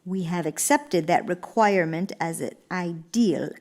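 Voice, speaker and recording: A woman's voice: lowish voice, a little slow, darkish tone. An actress playing an earnest, gracious elder First Lady in a radio play, speaking formally and with theatrical emphasis. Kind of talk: radio theater monologue and dialogue.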